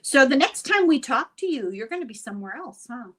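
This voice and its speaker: A woman's voice: high-pitched, big pitch swings, brisk, a bit bright. A woman speaking as the host of a casual, conversational chat.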